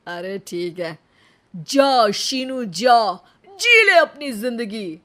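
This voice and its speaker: Unhurried drawl, woman playing cocky young husband, teasing, devoted underneath, cartoon drama. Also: on the high side, sing-song, fairly bright tone, heavily acted.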